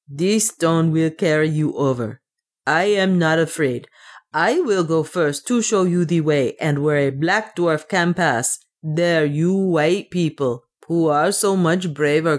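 A woman voicing a loyal, brave dwarf manservant in an audiobook adventure, speaking in an earnest, deferential and practical way. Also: low voice. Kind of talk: dramatised audiobook dialogue.

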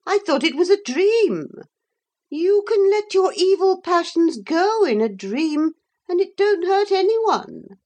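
A woman's voice: extremely high pitch, big pitch swings, slow pace, a little mellow, voice pushed up, full character voice. A woman voicing a bitter, defiant former servant woman who speaks her mind scornfully. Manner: scornful, fierce, tearful outbursts.

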